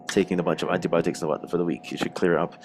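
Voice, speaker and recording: A man with a rough, strained, unwell voice chatting casually and slangily as co-host of a gaming show. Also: low voice, background noise. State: rough, strained voice from a throat infection. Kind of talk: casual chat.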